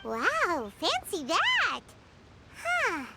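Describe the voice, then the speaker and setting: A tiny, childlike fairy companion from a video game, curious and chirpy. The voice is extremely high and bounces animatedly up and down. Loud music plays behind it. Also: female voice, wildly swooping pitch, very slow, exaggerated voice. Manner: speaks of self in third person, exclaiming. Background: loud playful music.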